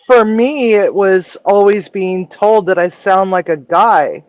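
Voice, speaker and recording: A woman with a low voice, a guest chef talking frankly and candidly in a casual livestream chat over a remote call. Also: slow pace, no room sound, muffled recording.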